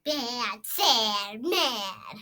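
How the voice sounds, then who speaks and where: A woman's voice: a little high, animated, drawn-out words, bright, forceful tone. A female listener giving short, simple answers during a story read-aloud.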